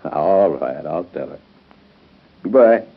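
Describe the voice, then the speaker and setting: A man's voice: a bass voice, expressive, slow pace, creaky, full character voice. An American man acting as an earnest doctor in a 1930s radio soap opera, explaining things with small hesitations. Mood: businesslike. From United States. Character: earnest, determined male doctor explaining plans to family. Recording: muffled, tinny 1930s radio broadcast.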